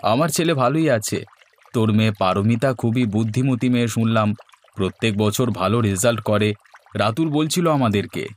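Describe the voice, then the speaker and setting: A man's voice: on the low side, animated, moderate pace, close to an everyday voice. A man playing a hardworking, friendly village fisherman and father in a cartoon story. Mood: agreeable, earnest. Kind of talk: Bengali cartoon story dialogue.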